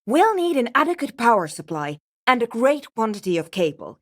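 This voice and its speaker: A woman's voice: fairly high pitch, big pitch swings, moderate pace, dry recording. Video game role: pragmatic female programmer, blunt and formal, swinging intonation, very dry close sound. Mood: brisk, businesslike. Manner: dry, matter-of-fact, precise, formal wording. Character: frank, pragmatic, no-nonsense female radio engineer and programmer. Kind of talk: scripted video game character dialogue.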